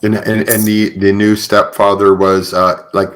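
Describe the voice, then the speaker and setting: A man's voice: lowish voice, flat delivery, measured pace, fairly bright tone. A man hosting a conversational podcast interview, speaking in hesitant phrases with frequent acknowledgements. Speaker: podcast host and author.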